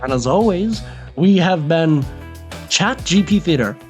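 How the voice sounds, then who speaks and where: A man's voice: a little high, lively intonation, measured pace, faint music behind. A man co-hosting a podcast, trading casual banter. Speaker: podcast co-host.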